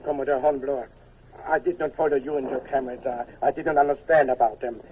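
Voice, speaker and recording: An actor playing a haughty, sarcastic Prussian colonel in a vintage radio drama. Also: male voice, medium pitch, fairly even intonation, quick pace, a little hiss or hum, close to an everyday voice. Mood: stiff. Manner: mocking, condescending. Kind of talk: 1940s radio acting. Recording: tinny old radio broadcast.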